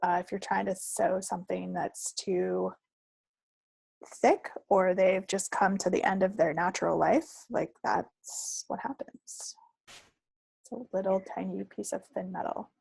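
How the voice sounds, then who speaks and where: A woman's voice: mid-range voice, fairly even intonation, measured pace, vocal fry, a little mellow, slightly rough, no echo, rough recording. A woman co-hosting a craft podcast, chatting casually and without a script in a friendly way. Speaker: co-host of a knitting and crafts podcast. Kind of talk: casual unscripted podcast chat.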